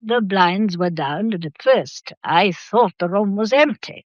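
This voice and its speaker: A woman acts out an old family matriarch in a radio mystery, composed and imperious. Her voice is mid-pitched, with stately, commanding phrasing. The recording is close, dry and slightly muffled. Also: expressive, a little slow, no echo, natural voice. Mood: composed, recounting. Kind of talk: detective radio drama acting.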